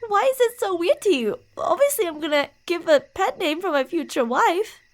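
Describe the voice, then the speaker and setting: A woman's voice: very high voice, big pitch swings, moderate pace. A woman playing a possessive, delusional young yandere bully in an ASMR roleplay, teasing, insistent and emotionally volatile. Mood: angry, possessive.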